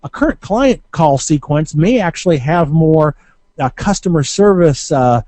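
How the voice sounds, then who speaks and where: A man giving informal instructional talk as a marketing coach, conversational and halting, often hesitating and correcting himself. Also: on the high side, animated, warm tone, dry recording.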